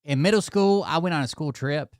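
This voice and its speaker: Albertan guy, clear dry voice. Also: on the high side, fairly quick, dry recording. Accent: Albertan Canadian. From Alberta, Canada. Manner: mocking, laughing, chatty. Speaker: commentary streamer. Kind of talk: casual reaction commentary.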